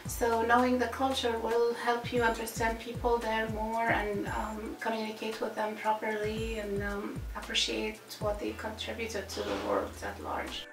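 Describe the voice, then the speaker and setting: A woman's voice: a little high, a little slow, few pauses. Woman, middle-aged, Arabic speaker, hesitant and thoughtful, recorded a bit far off in a roomy office. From Egypt. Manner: thoughtful, many fillers and restarts. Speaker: Arabic teacher. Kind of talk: interview answers. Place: office with posters, indoors. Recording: roomy, distant, music behind.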